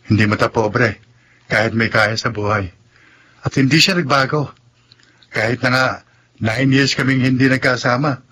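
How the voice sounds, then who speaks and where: An actor playing a humble, caring older brother in a Tagalog radio drama. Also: male voice, mid-range voice, fairly even intonation, normal speed, long pauses, sharp tone, breathy edge. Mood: earnest, reassuring.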